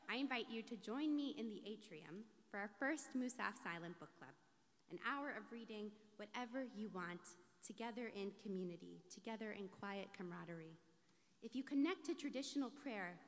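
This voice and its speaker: The sound of a large, reverberant sanctuary surrounds a woman with a somewhat high voice. She is a rabbi reading a prepared sermon from the lectern, phrasing it in a measured, deliberate way. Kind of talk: scripted sermon, read from a lectern. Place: large synagogue sanctuary, indoors.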